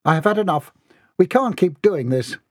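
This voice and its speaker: A man's voice: high-pitched, expressive, normal speed, no echo, studio quality, pitched above the performer's own voice. A man voicing a formal, earnest butler with a firm manner in a storybook. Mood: indignant. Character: earnest, exasperated household butler, male.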